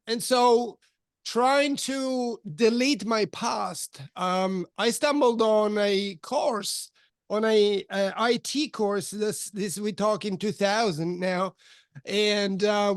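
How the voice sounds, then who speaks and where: A man in his fifties who grew up in Switzerland, telling his life story as a podcast guest, halting with many fillers and restarts. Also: high-pitched, no echo, studio quality. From Switzerland, of Italian parents. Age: 50s. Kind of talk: candid life-story interview.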